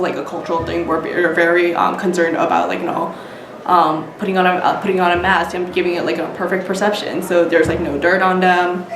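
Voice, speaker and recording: A woman with an American accent answering interview questions casually, peppering her speech with "like" and "you know". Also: on the low side. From United States. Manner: frequent "like" and "you know", informal. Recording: noisy, echoey room.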